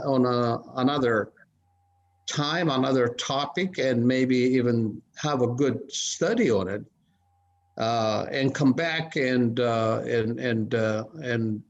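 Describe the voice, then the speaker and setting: Slow, halting man from Ethiopia. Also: mid-range voice. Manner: measured, halting, many restarts. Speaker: conference panelist. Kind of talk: casual panel discussion. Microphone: video call. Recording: dull lo-fi call audio.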